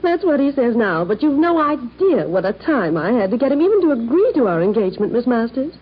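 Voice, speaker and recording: An actress playing a poised, possessive society fiancée in an old radio drama, polished and sweetly condescending. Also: female voice, on the high side, sing-song, a little fast, slightly rough, muffled recording. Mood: sweetly pointed. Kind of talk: 1930s-40s radio acting.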